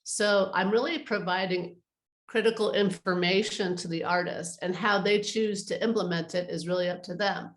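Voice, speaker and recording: A woman's voice: mid-range voice, steady tone, moderate pace, reverberant. A woman speaking conversationally as an advisor being interviewed, explaining her work and giving practical advice. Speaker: interview guest, art advisor.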